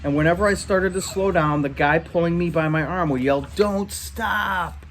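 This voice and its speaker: A man reading a story aloud in an animated way. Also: a little high, fairly quick, faint music behind. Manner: animated, acts out dialogue in shouts. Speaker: reader. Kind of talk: read-aloud story narration.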